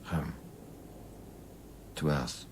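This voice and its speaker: A man acting a troubled, weary scientist in intimate radio drama dialogue, terse and halting. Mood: pleading, quiet. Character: troubled, weary male scientist, evasive with his lover. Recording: clearly audible background noise and ambience.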